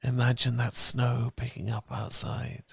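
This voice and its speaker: A British man performing soothing, intimate ASMR as a loving boyfriend, speaking softly and gently, at times near a whisper. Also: mid-range voice, dry recording. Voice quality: soft, breathy, gravelly, partly whispered. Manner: gentle, calming, intimate, unhurried. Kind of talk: romantic ASMR, soothing guided meditation. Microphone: close, dry ASMR miking.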